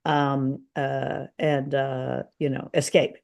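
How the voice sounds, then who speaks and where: A man's voice: mid-range voice, flat delivery, takes it slowly, vocal fry. A middle-aged man co-hosting a podcast, casually discussing and explaining things in a chatty way. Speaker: podcast co-host. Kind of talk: casual discussion of a radio drama.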